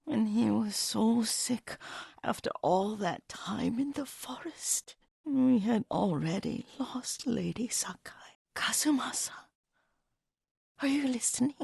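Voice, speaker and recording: An actress playing a frail, devoted elderly Japanese herbalist in a video game, speaking gently and wistfully with a husky, breathy voice. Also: female voice, fairly high pitch, lively intonation, no room sound, studio quality, full character voice. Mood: wistful, tender. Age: older. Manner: gentle, wistful, slow and halting. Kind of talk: dramatic video game voice acting.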